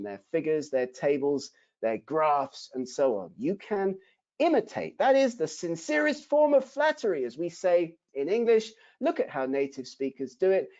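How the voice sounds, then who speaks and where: Lively, mellow-voiced man teaching writing. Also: high-pitched. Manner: emphatic, rhetorical questions to the audience. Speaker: academic writing instructor. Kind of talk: webinar lesson. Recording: dull lo-fi webinar audio.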